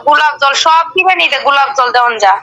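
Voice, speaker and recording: High, bright-voiced woman on a video call. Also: fast. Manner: chatty, explains plans at length. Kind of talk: casual chat. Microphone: phone on a video call.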